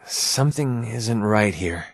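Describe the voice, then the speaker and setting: A man's voice: on the low side, animated, slow, gravelly, no room sound, studio quality, heavily acted. A man acting a young mercenary companion in a video game, guarded but loyal, with a casual, sardonic delivery. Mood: wary, focused. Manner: casual, sardonic, hesitant asides. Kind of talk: scripted game companion dialogue.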